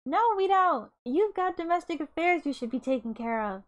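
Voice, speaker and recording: A woman's voice: very high voice, expressive, moderate pace, highs cut off, voice pushed up. A woman voicing an exasperated, overworked young woman who scolds indignantly, as in a novel reading. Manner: shouty, indignant.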